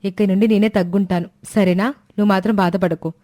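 A woman voicing a gentle, patient elder daughter-in-law who calms others down. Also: mid-range voice, quick pace, lightly acted. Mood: soothing. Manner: soothing, reasoning.

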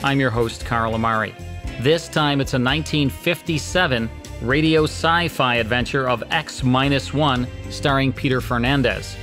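A man's voice: mid-range voice, moderate pace, a bit bright. A man in his fifties hosting a podcast from a small studio set, giving a scripted introduction. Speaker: podcast host. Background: clear music.

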